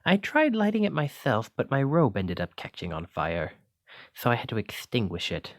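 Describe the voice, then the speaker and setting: A man acts out a clumsy but kindly wizard giving magic lessons in an ASMR roleplay. He speaks with genteel, old-fashioned flourish and keen encouragement. His mid-pitched voice leaps and swoops dramatically, and the sound is very dry. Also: huge pitch swings, normal speed, no room sound. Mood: genteel, instructive. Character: clumsy, kindly, excitable male wizard teacher. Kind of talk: ASMR roleplay addressed to the listener.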